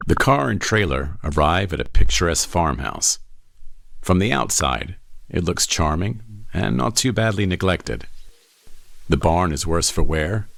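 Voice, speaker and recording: A man reading screenplay action lines in a measured, descriptive narration. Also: deep voice, creaky, a bit bright, no echo.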